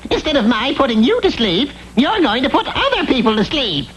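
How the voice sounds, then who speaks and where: A high-voiced wicked witch, scheming and gloating in classic cartoon style. Her delivery swings wildly up and down. The old TV recording is clearly noisy. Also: big pitch swings, brisk. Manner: gloating, rhyming incantations, cackles. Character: scheming, cackling wicked witch in a fairy tale.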